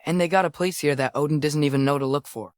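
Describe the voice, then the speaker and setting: A man's voice: a little high, quick pace, dry recording, natural voice. Game role: eager, sincere teen boy voiced by a young man, godly lineage, youthful and conversational, close dry sound. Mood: curious, eager. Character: earnest, eager teenage boy adventurer, son of a god. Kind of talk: video game character acting.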